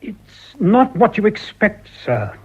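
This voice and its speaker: An actor playing an anxious, deferential office secretary in a period radio drama. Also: male voice, high-pitched, big pitch swings, takes it slowly, breathy edge, full character voice. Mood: nervous, grave. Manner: nervous, deferential. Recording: dull, muffled old radio recording.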